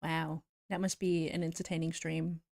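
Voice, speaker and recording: A woman's voice: sits low, little pitch movement, normal speed, a little mellow, no echo, clean studio sound. A woman streamer chatting casually and giggling as she answers viewers while she draws. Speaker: VTuber streamer.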